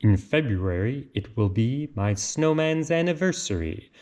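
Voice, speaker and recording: A man reading a children's picture book aloud in an expressive, sing-song, theatrical way. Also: mid-range voice, unhurried. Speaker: read-aloud storyteller.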